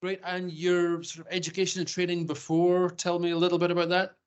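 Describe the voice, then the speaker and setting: A man's voice: high voice, completely flat delivery, fairly quick, clear, resonant tone, dull audio. A man hosting a podcast interview, asking questions in a friendly, affirming way with loose fillers. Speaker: podcast host.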